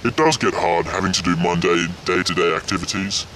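A man doing a low disguised-sounding voice. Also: brisk, distant mic, a little hiss or hum. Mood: deadpan. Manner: deadpan, matter-of-fact. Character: man whose natural voice sounds like a disguised anonymous-interview voice.

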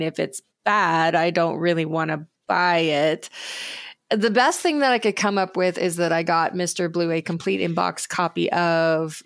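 A woman's voice: fairly low pitch, dry recording. A woman hosting a casual gaming podcast, who throws in dry quips between questions. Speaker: podcast host. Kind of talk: casual chat.

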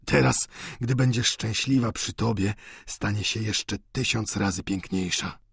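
Man playing a gravelly, husky doting old father. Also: fairly low pitch, unhurried, fairly bright tone, no room sound, clean studio sound, heavily acted. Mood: rapturous. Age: older. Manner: effusive, pleading, sentimental. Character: doting, tearful old father, fervently devoted to his daughter.

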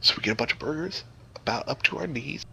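Gravelly man telling a crude, rambling story. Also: mid-range voice, expressive, fast, sharp tone, slight background noise, rough recording. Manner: rambling, profane, theatrical. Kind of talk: improvised crude comic storytelling.

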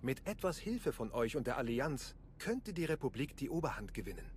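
An actor playing a charming, roguish intelligence agent in a video game, smooth-talking, breezy and flirtatious. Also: male voice, mid-range voice, big pitch swings, moderate pace, slight background noise, lightly acted. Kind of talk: video game cutscene acting.

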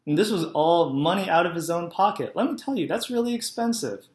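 A young man with a slightly high voice gives a Sunday school lesson to children. He explains the story in plain, friendly words and keeps asking the kids whether they follow. He records on a laptop webcam in a living room with a noticeable echo. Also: animated, brisk. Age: young adult. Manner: friendly, simple storytelling, asks "right?" to kids. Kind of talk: children's sermon. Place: living room at home, indoors. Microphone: laptop webcam, head and shoulders. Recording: echoey room.

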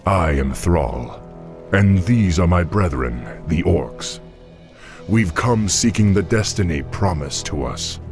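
An actor playing a young, noble orc war chief in a fantasy game, with a gravelly voice and a firm, formal manner. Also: male voice, low voice, lively intonation, slow pace, over music, full character voice. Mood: earnest. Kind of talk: fantasy video game acting.

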